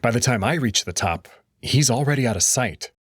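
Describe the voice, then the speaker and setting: A man narrating a visual novel in the first person with an expressive, dramatic reading. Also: medium pitch, fairly quick, slightly rough, no echo, clean studio sound.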